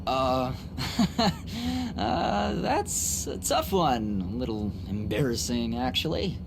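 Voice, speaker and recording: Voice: mid-range voice, sing-song, takes it slowly, gravelly, background noise, heavily acted. An actor playing an easygoing, candid, boyish young man in an English anime game dub, casual and a bit awkward. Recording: very loud music behind the voice.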